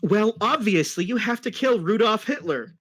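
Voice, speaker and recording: A man's voice: high voice, animated, moderate pace, a bit bright, pitched above the performer's own voice. A man voicing an eager, bossy young cartoon fox sidekick, explaining things earnestly and with exasperation in an amateur dramatic reading.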